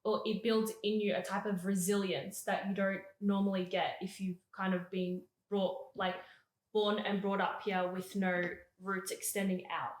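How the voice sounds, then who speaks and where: A woman co-host talks in a measured, thoughtful way, pausing to search for the right words. Her voice sits in a middle pitch. Her voice echoes noticeably in the room. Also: level tone. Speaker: podcast co-host. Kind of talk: casual chat.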